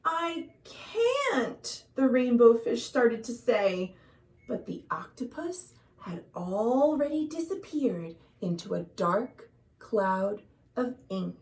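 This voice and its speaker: High, very animated storytime woman. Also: recorded from a distance, rough recording. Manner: dramatic, deliberate, addresses young listeners. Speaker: children's librarian, storytime host. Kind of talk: expressive picture-book read-aloud for children. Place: at home.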